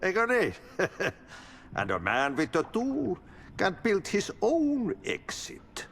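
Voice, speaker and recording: An actor playing an older Finnish janitor in a video game, speaking in folksy, cryptic riddles with a Finnish accent. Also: male voice, high voice, wildly swooping pitch, takes it slowly, gravelly, fairly bright tone, slight background noise, heavily acted. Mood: playful, cryptic. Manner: folksy riddles, sing-song drawl. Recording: long cavernous reverb.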